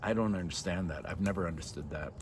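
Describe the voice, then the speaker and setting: Older man, slightly low voice, casual vlog monologue, hesitant, sincere, reflective, outdoors, faint background noise. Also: fast. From born in Norway, moved to Canada as a child. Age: 50s to 60s. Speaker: vlogger. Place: outdoors by a fire in a yard at night. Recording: noisy outdoor ambience.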